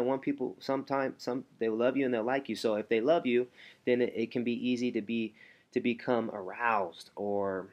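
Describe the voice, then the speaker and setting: Man, motivational coach, hushed, rambling, lowish voice, talking at home. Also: dull audio. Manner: soft, hushed delivery to keep a sleeping baby quiet, rambling with restarts. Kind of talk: casual motivational talk to camera.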